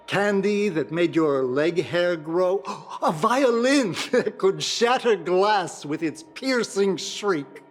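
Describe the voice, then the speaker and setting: A young male merchant from a video game, with a fairly high voice and music playing behind him. He is chatty and wistful, rambling along in a halting, heartfelt way. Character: grieving young man, friendly, chatty merchant guild rival.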